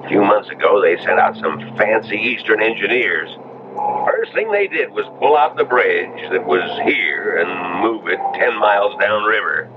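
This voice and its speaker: Folksy low-voiced Western local man acting. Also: lively intonation, moderate pace, close to an everyday voice. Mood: folksy, grumbling. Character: folksy, plain-spoken Western local driver, easygoing. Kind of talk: 1970s radio drama acting. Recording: noisy, tinny old radio recording.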